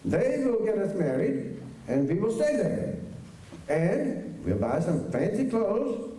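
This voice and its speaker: A man playing an earnest, high-voiced, pleading farmer. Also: very animated, dark tone, heavily acted. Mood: eager. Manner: halting, pleading, old-fashioned immigrant phrasing. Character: anxious, earnest farmer courting his former housekeeper. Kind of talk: radio drama acting before a live audience. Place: large school auditorium stage. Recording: echoey auditorium, distant mic, faint noise.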